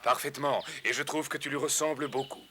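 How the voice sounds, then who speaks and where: An actor playing a noble, resolute outlaw leader in a French cartoon dub. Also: male voice, medium pitch, steady tone, fairly quick, sharp tone, slight background noise, close to an everyday voice. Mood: solemn, welcoming.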